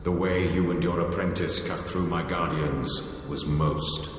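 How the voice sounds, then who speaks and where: A man playing a vain, vengeful ancient ghost lord in a video game, speaking in a grandiose, formal and deliberate manner. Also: low voice, fairly even intonation. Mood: grateful, proud. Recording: cavernous reverb, music underneath.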